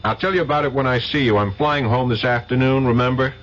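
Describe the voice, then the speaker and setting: A man's voice: mid-range voice, fast talker, fairly bright tone, close to an everyday voice. An actor playing a dry, wry male investigator in a radio drama. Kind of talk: 1970s radio drama acting. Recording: lo-fi old radio recording with faint hiss.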